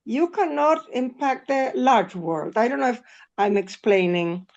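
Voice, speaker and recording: A woman's voice: a little high, unhurried, darkish tone. A Spanish-accented woman, a native Spanish speaker, joining a class discussion, earnestly explaining and searching for words. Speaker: class participant. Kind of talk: informal discussion. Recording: dull, muffled class call audio.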